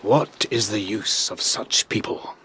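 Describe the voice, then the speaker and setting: A man's voice: lowish voice, unhurried, bright, forceful tone, a little hiss or hum. A man playing a scornful, blunt older English doctor in a radio drama.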